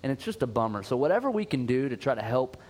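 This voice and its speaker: A man's voice: mid-range voice, sing-song, quick pace, a little mellow. Chatty male podcast host, lots of "uh". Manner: chatty, filler-heavy, upbeat. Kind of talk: casual podcast chat.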